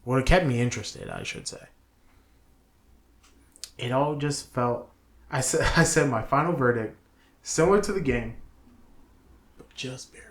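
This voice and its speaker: A man co-hosting a casual movie review, opinionated and emphatic, halting with pauses as he talks and reads from notes. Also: medium pitch, lively intonation, roomy sound. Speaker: show co-host.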